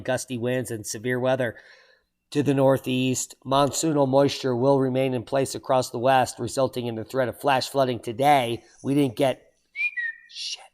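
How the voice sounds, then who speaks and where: Man reading headlines with sardonic asides. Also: medium pitch. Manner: exclaims loudly at surprising items. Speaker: channel host reading weather and geology news. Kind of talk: solo commentary reading headlines.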